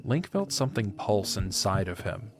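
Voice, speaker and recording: A man's voice: on the low side, big pitch swings, moderate pace, noisy background. A man narrating a fantasy audio drama in a scripted storybook style.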